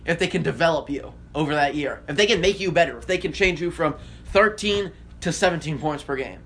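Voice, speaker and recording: A man's voice: fairly high pitch, fairly quick, a bit bright. An American man co-hosting a sports podcast, arguing his points emphatically and repeating phrases to drive them home in casual debate.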